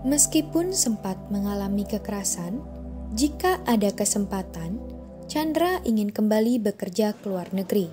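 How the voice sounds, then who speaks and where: A woman reading scripted documentary narration in a measured, formal manner. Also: mid-range voice, lively intonation, music in the background.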